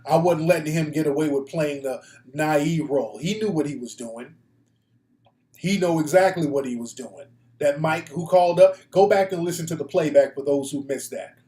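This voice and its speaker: Male American talk host, slightly high voice, blunt and profane, off-the-cuff monologue, roomy echo. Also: normal speed, warm tone. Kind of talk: casual opinionated live talk show monologue. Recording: echoey room.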